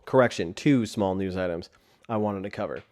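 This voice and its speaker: A man's voice: low voice, normal speed, darkish tone. A man hosting a solo podcast in a casual, rambling way, his voice tired from a sore throat. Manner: rambling with frequent restarts. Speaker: podcast host. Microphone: desk microphone.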